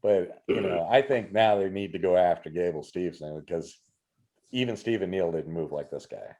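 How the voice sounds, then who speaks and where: A man's voice: deep voice, moderate pace, dark tone. A middle-aged man hosting a casual video call interview, chatty and keen on wrestling. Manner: chatty, enthusiastic about wrestling. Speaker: show host. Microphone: video call webcam. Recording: dull lo-fi webcam audio.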